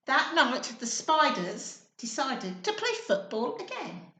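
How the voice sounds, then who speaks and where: A woman reading a children's story in an expressive, sing-song, encouraging way, pausing to put questions to her young listeners. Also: high voice. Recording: echoey room sound.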